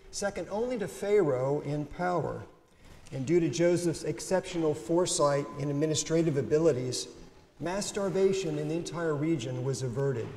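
A man in his fifties giving a deliberate, measured homily from a pulpit microphone in a church sanctuary. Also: a little high, dark tone. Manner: measured, deliberate preaching read from notes. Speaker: priest. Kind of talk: sermon. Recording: reverberant church, faint room noise.

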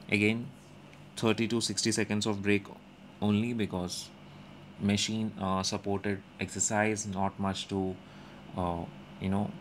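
Calm low-voiced man explaining a workout. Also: slight background noise. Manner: calm explanatory, with frequent "uh" pauses. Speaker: fitness vlogger. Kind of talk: instructional workout voice-over. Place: indoor gym.

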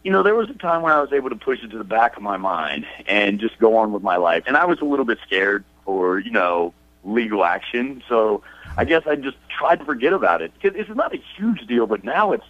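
A man's voice: medium pitch, big pitch swings, a little fast, no room sound. Young man on a dull phone line. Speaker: caller to a radio show. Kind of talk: casual confessional chat. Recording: dull phone call, dry.

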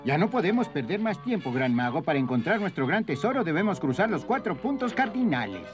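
Animated, authoritative old elf leader. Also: male voice, sits high, brisk, soft background music. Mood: grave. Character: elderly male elf village leader, calm, authoritative. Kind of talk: animated cartoon dub acting.

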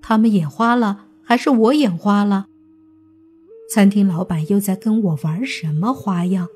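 A woman narrating a scripted suspense audiobook in a measured, deliberate storytelling manner. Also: mid-range voice, darkish tone. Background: background music.

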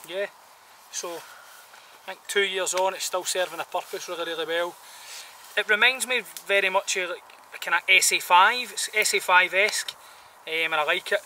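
Bright-voiced man, outdoors. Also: high voice. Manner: informal, colloquial, rambling. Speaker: bushcraft gear reviewer. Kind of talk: casual outdoor product review to camera. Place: outdoors in a woodland camp. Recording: distant outdoor mic, some background noise.

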